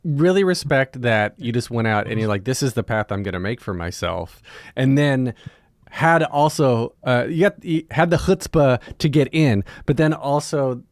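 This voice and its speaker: A man's voice: mid-range voice, expressive, normal speed, no room sound, clean studio sound. A man hosting a podcast interview casually, building his remarks and questions in rambling fragments.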